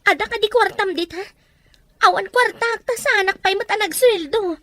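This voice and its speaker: A very high, dry-sounding voice from an actress as a burdened eldest sister in a radio-style drama. She has an Ilocano accent and pleads and scolds emphatically, worn down by a poor family's troubles. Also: normal speed, a bit bright, no echo. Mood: worried, burdened. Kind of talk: Ilocano audio drama acting.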